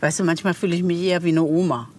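An actress plays a weary middle-aged landlady who doubts herself, in a crime re-enactment. She is heard from a little distance, with clear ambient noise around her. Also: fairly low pitch, fairly even intonation, very fast, darkish tone, close to an everyday voice. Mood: wistful. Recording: distant mic, noisy outdoor ambience.

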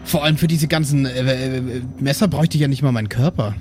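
A man's voice: mid-range voice, quick pace, a little mellow. Casual man with faint background noise. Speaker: player in tabletop audio play. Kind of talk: casual hesitant banter.

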